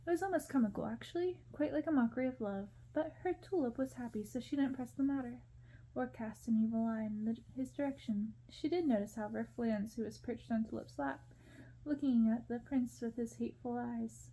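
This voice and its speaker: Woman doing asmr story narration, hushed, really dark soft tone, slightly high. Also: fairly quick, rough recording. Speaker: ASMR reader.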